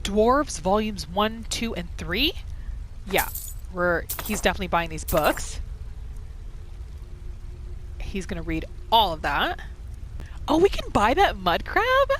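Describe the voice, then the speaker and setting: Animated woman doing gameplay commentary. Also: mid-range voice, takes it slowly, pauses often, slight background noise, poor audio. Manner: excitable, exclaiming, narrates her character's thoughts. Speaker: gaming video host.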